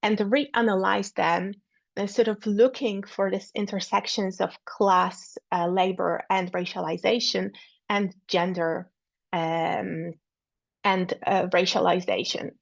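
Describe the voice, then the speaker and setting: A woman giving an academic talk over a video call, halting often with fillers and repeated words. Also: medium pitch, steady tone, vocal fry, no echo. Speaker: academic speaker. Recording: dull lo-fi sound.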